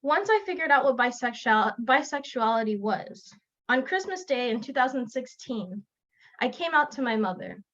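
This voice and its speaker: A young woman from South Florida gives a personal narrative speech over a video call, earnest, pausing and restarting now and then. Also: high-pitched, lively intonation, a bit bright. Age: young adult. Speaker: student speaker. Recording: lo-fi online call audio.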